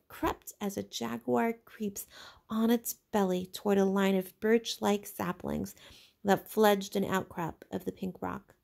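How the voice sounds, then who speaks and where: A woman teacher narrating a novel aloud in an expressive, dramatic storytelling manner. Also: medium pitch, creaky.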